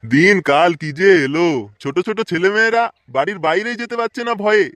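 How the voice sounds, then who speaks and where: A man's voice: high voice, animated, fairly quick, a bit bright, no room sound. A man voicing a grumbling, chatty village man in an amateur comic cartoon. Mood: wistful grumbling. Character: grumbling village man reminiscing about childhood. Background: faint music.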